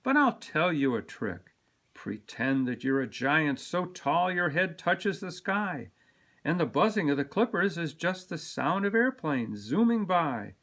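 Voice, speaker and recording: A man voicing a calm, reassuring father who gently encourages his small son in a storybook. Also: fairly high pitch, a little slow, heavily acted. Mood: gentle, coaxing.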